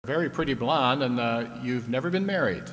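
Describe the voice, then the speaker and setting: A man's voice: medium pitch, expressive, fairly quick, a bit bright, close to an everyday voice. A man playing a genial, teasing game show host on a 1950s style radio audience show, with playful, folksy patter. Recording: echoey hall, clear background noise.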